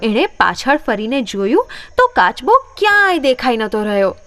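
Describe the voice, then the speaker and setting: Animated, high-pitched woman storyteller. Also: moderate pace, dry recording, soft background music. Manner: expressive storytelling. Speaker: storyteller, narrator. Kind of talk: scripted children's story narration.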